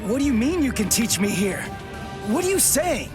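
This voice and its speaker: Hot-headed anime teen boy, really high voice, all over the place, earnest. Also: very animated, moderate pace, music in the background. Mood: confused. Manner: intense, questioning, emphatic. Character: earnest, hot-headed teenage male sword fighter. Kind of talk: English anime game voice acting.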